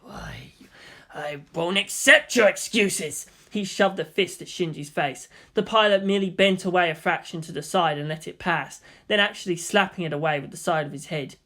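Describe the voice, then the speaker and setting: Story narrator, medium pitch, scripted, dramatic delivery, fiction reading. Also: brisk, few pauses, a bit bright.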